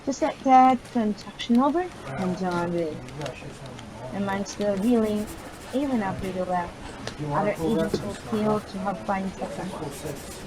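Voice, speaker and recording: A woman gamer reading visual novel narration aloud, halting and stumbling over words. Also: mid-range voice, crowd noise, poor audio.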